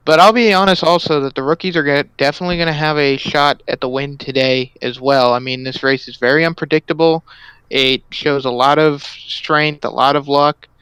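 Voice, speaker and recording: A man's voice: mid-range voice, a bit bright, clear, resonant tone, no room sound, low-quality recording. A man giving casual, hedging answers as color commentator on a simulated stock car race. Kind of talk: sim racing broadcast commentary.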